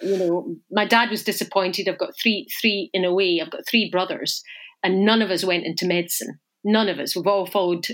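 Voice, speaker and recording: A woman's voice: fairly low pitch, normal speed, fairly bright tone. A middle-aged Scottish woman with a Scottish accent, talking as an interview guest in a chatty, reflective way, often restarting and repeating words. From Scotland.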